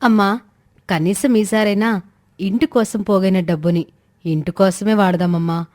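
A woman performs a practical young daughter in a dramatized moral tale. The voice is mid-pitched, earnest and pleading. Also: fairly even intonation, normal speed, darkish tone, natural voice. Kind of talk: dramatized moral story dialogue.

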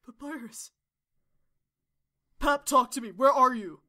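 A woman's voice: high-pitched, slow pace, pushed and bright, heavily acted. A woman voicing a protective, worried eighteen-year-old older brother in an emotional fan audio drama. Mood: panicked. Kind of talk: angst-heavy fan audio drama acting. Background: background music.

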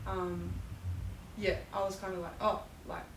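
A woman's voice: fairly low pitch, level tone, a little slow. A young Australian woman from a rural area, a guest athlete answering interview questions modestly and hesitantly, with many fillers. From rural Victoria, Australia. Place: small podcast lounge room, indoors. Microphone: studio desk mic with headphones. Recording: roomy, distant-miked, noisy room.

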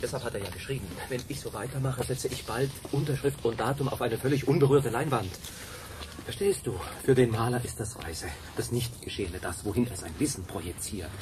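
A man acting a thoughtful aesthete in a radio play. He has a medium-pitched voice and explains earnestly and deliberately. Noise is clearly audible behind him. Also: lightly acted. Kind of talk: radio drama dialogue.

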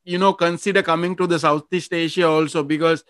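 Halting higher-voiced man host, dry sound. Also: fairly even intonation, fairly bright tone, no room sound. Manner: halting, stumbling, many restarts. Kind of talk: casual video-call interview. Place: from the Philippines. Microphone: video call.

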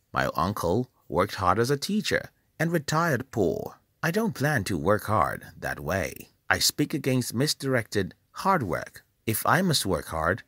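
A man from Nigeria, reading a scripted motivational voice-over in a measured, emphatic, preacherly way. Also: on the low side, fairly bright tone, breathy edge, no echo, clean studio sound. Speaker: channel host.

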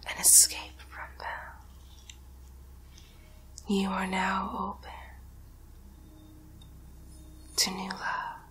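A woman's voice: medium pitch, monotone, lightly acted. Whispering vengeful witch played by a young woman. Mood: spiteful, soothing. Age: young adult. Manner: slow, hushed, incantatory repetition. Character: vengeful witch casting a hex, intense and soothing. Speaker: ASMR roleplay performer. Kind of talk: whispered ASMR roleplay. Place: bedroom, indoors. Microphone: close mic, seated near camera.

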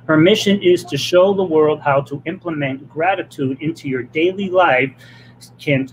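A man's voice: on the high side, slight background noise, low-quality recording. Upbeat Boston man hosting. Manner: chatty, upbeat, jokey. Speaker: host. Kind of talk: live-stream interview show. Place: home office, indoors. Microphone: webcam on video call.

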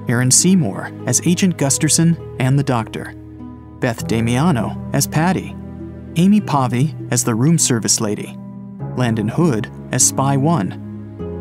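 A man hosting an audio drama podcast, reading scripted credits. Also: mid-range voice. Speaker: podcast host. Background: music.

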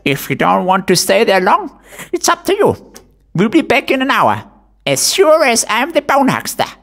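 Sly, coaxing Death figure driving a coffin wagon in a storytelling reading, high, gravelly and sing-song. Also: male voice, huge pitch swings, moderate pace, fairly bright tone, heavily acted. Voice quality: high, creaky, strained. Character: Death as a cunning male wagon driver.

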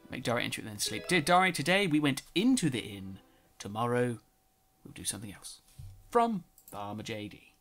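A man's voice: medium pitch, big pitch swings, a little fast, fairly bright tone. A man giving casual let's play commentary, a bit nasal from a cold, reading game text aloud as he plays. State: slightly nasal, sore throat. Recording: faint music behind.

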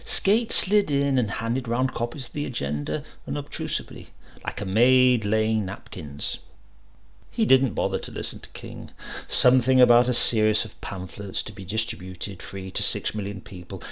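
A man's voice: mid-range voice, moderate pace, vocal fry. A man narrating a comic short story in a dry, wry storytelling manner. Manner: dry, wry storytelling with lively voiced dialogue.

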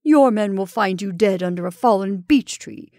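A woman's voice: medium pitch, moderate pace, mellow, no room sound, clean studio sound, close to an everyday voice. A woman reading aloud, voicing a bitter, taunting man pinned under a fallen tree, with a snarling manner. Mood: cold threatening. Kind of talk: storybook reading.

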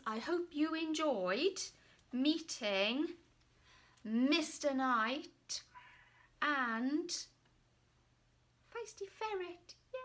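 Woman, forties, children's storyteller: high, very unhurried, expressive delivery with confiding asides. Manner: dramatic pauses, hushed asides to the children. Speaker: author reading her picture book aloud. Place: small room at home, indoors. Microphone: camera or phone at about arm's length.

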